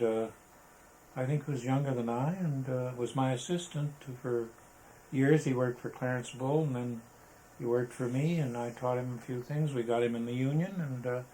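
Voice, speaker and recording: An older American man recalls his working past in a casual interview. His delivery is halting and meandering, with mid-range pitch. The recording carries some background noise and room ambience. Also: darkish tone, rough recording. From Arizona, United States. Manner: hesitant, halting, rambling. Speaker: interviewee, veteran photographer. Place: indoors, photographer's studio.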